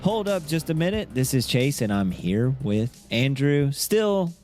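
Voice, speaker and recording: A man's voice: mid-range voice, sing-song, measured pace, darkish tone, faint music behind. A man hosting a casual podcast, bantering and teasing as he poses quiz questions to his co-host. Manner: teasing, quizzing.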